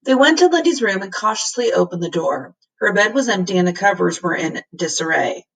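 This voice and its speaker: A woman reading a children's storybook aloud as a teacher would to a class, in expressive narration. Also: medium pitch, fairly quick, gravelly, distant mic, poor audio.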